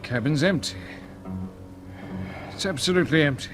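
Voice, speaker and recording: An actor with a British accent playing a calm, commanding space pilot in clipped 1950s radio drama style, giving steady instructions. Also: male voice, fairly high pitch, moderate pace, natural voice. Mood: grave, puzzled. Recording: vintage radio broadcast with music behind.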